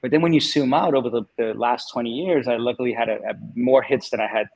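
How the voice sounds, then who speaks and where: Male guest, 40s, Danish native with a Californian accent, mid-pitched, easygoing and talkative, dry room. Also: quick pace, darkish tone, dry recording, lo-fi sound. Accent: Californian American. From Denmark. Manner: chatty, laughs often, many fillers. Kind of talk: casual interview storytelling.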